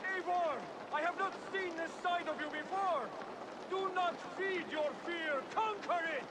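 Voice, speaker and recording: High-pitched, earnest young assassin scholar. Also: male voice, very noisy. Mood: urgent, shouting. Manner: measured, formal, instructive. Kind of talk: video game cutscene acting.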